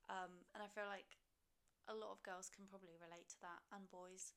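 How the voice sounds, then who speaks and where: Young woman in her twenties, vlogger, frank and sweary, slight vocal fry, mid pitch. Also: little pitch movement, brisk, fairly bright tone. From Poland. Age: 20s. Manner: candid, swears casually, confiding. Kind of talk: casual Q&A vlog chat. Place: her own home.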